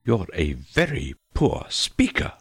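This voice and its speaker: A woman voicing a pompous, irritable king, imperious and sharp, in a storybook reading. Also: a bass voice, huge pitch swings, slow pace, husky, exaggerated voice. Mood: sharp, dismissive.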